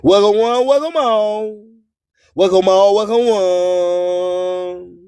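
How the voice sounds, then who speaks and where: A man works as a radio hype announcer. His voice sits extremely high and he draws phrases out very slowly, with boastful, theatrical repetition. It is recorded dry over soft music. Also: smooth, no echo. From US Gulf Coast. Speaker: radio host.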